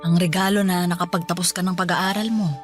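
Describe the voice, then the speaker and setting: An actress playing a caring, hardworking single mother in a radio drama, speaking tenderly and insisting gently. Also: fairly low pitch, steady tone, a little fast, faint music behind, natural voice.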